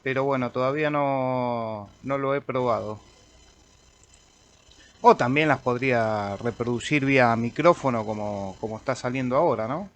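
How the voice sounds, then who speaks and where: A man with an Argentine accent walks through a piece of software in an unscripted way. His voice sits at a medium male pitch, and he thinks aloud as he tries things out. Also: normal speed. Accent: Argentine Spanish. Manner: informal, thinking aloud while clicking through. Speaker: amateur radio operator demonstrating software. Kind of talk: casual screen-recorded software tutorial.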